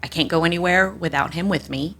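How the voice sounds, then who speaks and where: Interview guest: woman, low but bright voice, candid and rambling. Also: fairly quick. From United States. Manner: candid, rambling with many fillers and restarts. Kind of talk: casual interview conversation.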